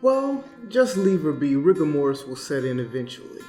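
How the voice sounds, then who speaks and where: Man playing deadpan taxidermist Jim, higher-pitched voice. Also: normal speed. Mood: matter-of-fact. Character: Jim, straight-faced, ethical small-business taxidermist. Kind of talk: comic radio commercial sketch. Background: guitar music.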